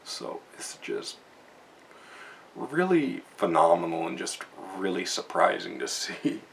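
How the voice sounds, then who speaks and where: A man's voice: deep voice, sing-song, slow, vocal fry, a bit bright. A young man giving a casual, rambling book review with many fillers and restarts, chuckling often as he talks. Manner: chuckles often while talking. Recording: distant mic, faint background noise.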